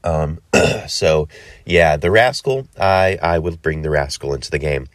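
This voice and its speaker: A man's voice: extremely low pitch, measured pace, dry recording, studio quality. A man hosting a solo podcast in a casual, rambling way with many ums and uhs, sounding stuffy from a cold. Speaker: podcast host.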